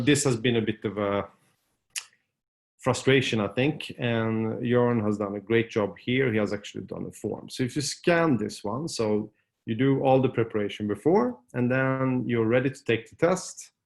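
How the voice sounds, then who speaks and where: A man whose first language is Swedish, hosting a webinar and walking viewers through steps in a loose, chatty way with many pauses and restarts. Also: mid-range voice, gravelly. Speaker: webinar host. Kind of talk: casual screen-share webinar walkthrough.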